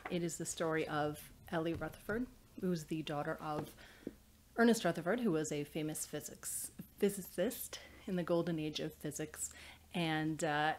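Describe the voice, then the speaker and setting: A woman's voice: low-pitched, a little hiss or hum. A woman in her thirties, an author reading and presenting her novel in a small study. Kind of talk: audiobook-style reading, scripted narration. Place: small indoor study with bookshelves.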